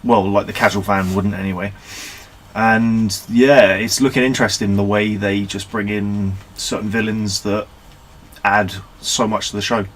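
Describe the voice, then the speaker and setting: A man's voice: fairly low pitch, level tone, a little slow, a bit bright, rough recording. A man chatting casually as an agreeable podcast co-host. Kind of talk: casual chat.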